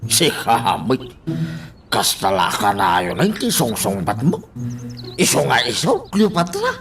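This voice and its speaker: A man's voice: mid-range voice, huge pitch swings, a little slow, over music. A man playing a goofy, lovestruck older suitor, clownish and exclamatory, in a comic Ilocano radio drama. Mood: lovestruck, clowning.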